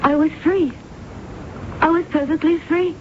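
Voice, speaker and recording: Haunted woman, high and anguished. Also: steady tone, measured pace. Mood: haunted, despairing. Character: haunted, grief-broken woman, prematurely aged, ruled by dead father. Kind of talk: 1970s radio drama acting. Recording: dull, noisy old radio recording.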